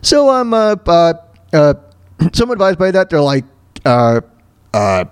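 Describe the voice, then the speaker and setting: A man in his thirties hosting a talk show alone, telling stories and ranting casually in a halting, repetitive way with frequent throat clearing. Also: a little high, very animated, no room sound. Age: 30s. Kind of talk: casual monologue, ranting and personal storytelling.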